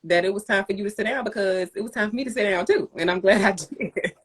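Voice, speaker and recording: A woman's voice: medium pitch, fast, background noise. A woman hosting a podcast in casual chat, encouraging and upbeat, with colloquial phrasing. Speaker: podcast host. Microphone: phone on a live social video stream.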